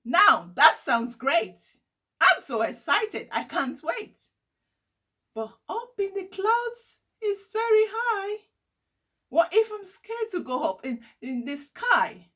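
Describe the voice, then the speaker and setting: A woman's voice: extremely high pitch, big pitch swings, takes it slowly, sharp tone, pitched above the performer's own voice, heavily acted. A woman voicing a curious, unsure and eager little boy in a storybook, with a questioning, wondering manner. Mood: excited, nervous.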